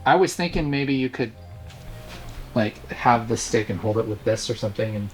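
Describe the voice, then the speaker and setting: A man's voice: medium pitch, animated, normal speed, rough recording. A man in his thirties speaks as the host of an online show, in casual behind-the-scenes chat. Light music plays underneath his voice. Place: indoor video studio.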